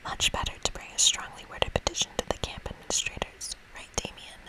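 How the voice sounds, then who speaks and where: A woman reading a video game aloud in a breathy ASMR whisper, playful and expressive, with casual asides. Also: fairly quick, poor audio. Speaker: ASMR gamer reading a game's dialogue aloud. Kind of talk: whispered ASMR let's play.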